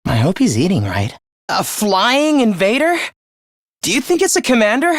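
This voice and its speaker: A man playing an earnest, caring teenage student leader in an anime-style video game, with a youthful, expressive voice and polite delivery. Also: sits high, normal speed, dry recording, clean studio sound. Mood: gentle, subdued, then alarmed, urgent. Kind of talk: animated game voice lines, anime-style dub acting.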